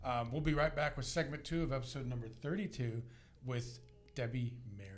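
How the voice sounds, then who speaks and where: Man, podcast host, mid-pitched, upbeat chatty interview. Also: fairly quick, noisy background. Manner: upbeat, friendly, loose with fillers. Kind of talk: casual interview chat. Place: studio with guest beside him.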